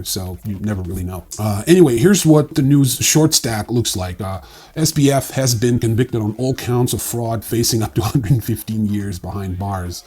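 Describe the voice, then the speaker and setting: A man hosting a casual news roundup in an offhand, wry way, with a husky voice and frequent hesitations. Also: mid-range voice, warm tone. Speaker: newsreel host. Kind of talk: casual crypto news commentary, partly read.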